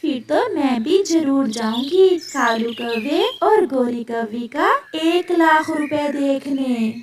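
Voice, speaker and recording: A woman's voice: high voice, expressive, slow. A woman voicing a knowing, sensible female little bird in a cartoon, with a matter-of-fact, gossipy manner. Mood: interested. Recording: echoey, noisy, distant microphone.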